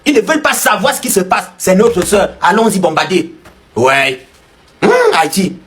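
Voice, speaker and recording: Emphatic man, slightly high voice. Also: big pitch swings, normal speed, fairly bright tone. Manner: emphatic, repeats short phrases. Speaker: social media commentator. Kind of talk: casual emphatic commentary.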